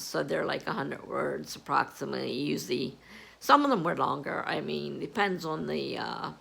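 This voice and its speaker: A woman with a froggy, hoarse voice chatting casually about her writing plans, rambling with plenty of fillers. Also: deep voice, a bit bright. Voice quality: gravelly. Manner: slow, rambling, with many fillers. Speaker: writer vlogging about novel prep. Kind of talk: casual chat to camera. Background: air conditioner humming.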